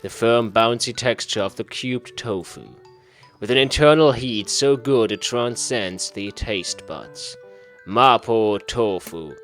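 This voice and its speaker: A man's voice: mid-range voice, slow, fairly bright tone, faint music behind. A man reading a visual novel aloud in a theatrical, mock-serious way as a gaming host. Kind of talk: dramatic read-aloud narration of a visual novel.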